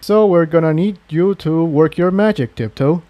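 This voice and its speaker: A man voicing a gentle, confident male pony adventurer in a fantasy story reading. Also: on the high side, normal speed, no echo, highs cut off, pitched above the performer's own voice, lightly acted. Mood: wary, planning.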